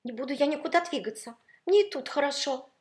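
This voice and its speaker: Lady doing a sulky whiny spoiled frock from a storybook, high voice, swoops around a lot. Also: expressive, fast, darkish tone. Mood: stubborn. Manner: indignant, sulky protesting. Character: whiny, capricious female iridescent fabric, stubborn and tearful.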